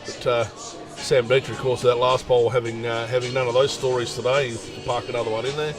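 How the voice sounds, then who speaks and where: A man calls a bowls match in an easygoing, chatty way at a middling pitch. He fills pauses with lots of ums and uhs. Music plays faintly behind him outdoors. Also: level tone. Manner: easygoing, chatty, many fillers. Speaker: lawn bowls commentator. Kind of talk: casual sports commentary.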